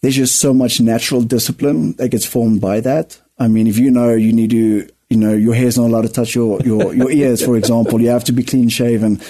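A man's voice: medium pitch, steady tone, a little fast, hardly any pauses, warm tone. Earnest south african man, afrikaans native. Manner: earnest, repeats words as he searches for them. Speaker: guest, rugby player. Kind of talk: casual interview talk.

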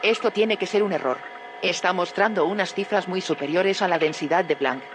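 A woman's voice: on the low side, moderate pace, background noise, lightly acted. An actress playing a curious female scientist in an audio drama. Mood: baffled.

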